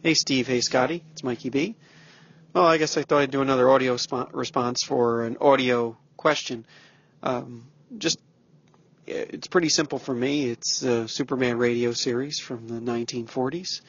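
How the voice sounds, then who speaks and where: A man leaving a casual, rambling audio message for a show in a halting manner. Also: medium pitch, steady tone, husky, no echo. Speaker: listener sending an audio message. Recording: dull lo-fi home recording.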